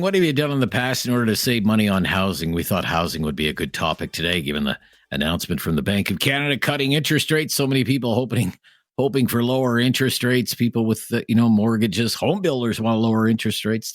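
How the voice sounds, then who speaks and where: A man hosting a call-in radio talk show, taking calls and chatting with callers in a brisk, conversational way. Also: on the low side, no room sound, clean studio sound. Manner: brisk, conversational host banter.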